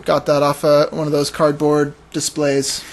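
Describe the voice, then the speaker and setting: A man's voice: a little high, monotone, measured pace. A man co-hosting a gaming podcast, chatting in an informal, laid-back way. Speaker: podcast co-host. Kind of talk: casual gaming chat. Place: his one-room apartment.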